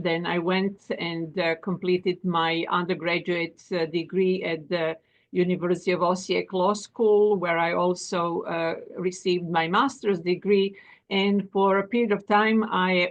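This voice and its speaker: A middle-aged woman from Croatia, a professor being interviewed, speaking with many pauses and fillers. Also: lowish voice, fairly even intonation. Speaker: guest, professor and dean. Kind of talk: interview conversation.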